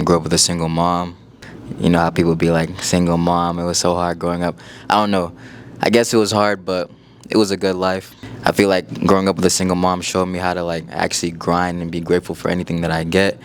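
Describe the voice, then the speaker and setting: Young man, 19, from Iowa, relaxed guest talk, very low and even voice, faint noise behind. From United States (Iowa). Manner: laid-back, relaxed, filler-heavy talk. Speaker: guest entrepreneur. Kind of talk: casual interview.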